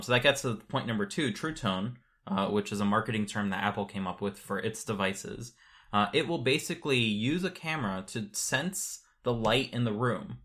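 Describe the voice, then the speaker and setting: A man's voice: on the low side, a bit bright. A man co-hosting a podcast, explaining things in an informal, matter-of-fact way in casual conversation. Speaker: podcast co-host.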